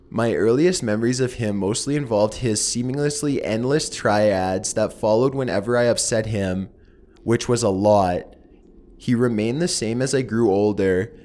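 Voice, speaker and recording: A man narrating horror stories in a measured, somber, deliberate manner as the host of his channel. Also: fairly low pitch, a little mellow. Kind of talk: scripted horror story narration.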